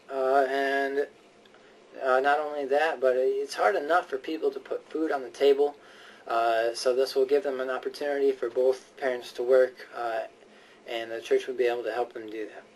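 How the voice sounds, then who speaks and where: Distant-miked man giving an earnest speech. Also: medium pitch. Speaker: speaker giving a prepared speech. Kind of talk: persuasive church-themed speech, sermon-like. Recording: voice distant from the microphone.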